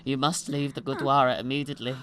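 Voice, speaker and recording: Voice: mid-range voice, little pitch movement, fast, fairly bright tone, a little hiss or hum, close to an everyday voice. A performer playing a frantic but cautious elderly man giving urgent warnings in an audio drama.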